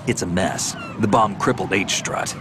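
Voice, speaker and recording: An actor playing a cool, weary seasoned soldier in a video game, speaking in a terse, dry, laid-back way. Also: male voice, sits low, measured pace, background noise. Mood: weary, casual. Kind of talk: dramatic video game voice acting.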